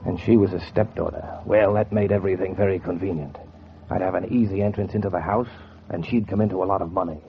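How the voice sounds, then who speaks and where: A man's voice: sits low, steady tone, fast, creaky. An actor playing a bitter, cold-blooded man bent on revenge, narrating in brooding 1940s radio noir style with sardonic deliberation. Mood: calculating, sardonic. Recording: dull, noisy 1940s radio recording.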